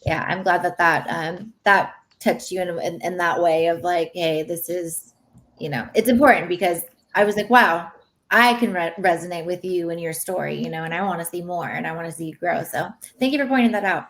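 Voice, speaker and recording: A woman's voice: on the low side, a little fast, a bit bright. A woman speaking casually and off the cuff as a participant in a panel talk.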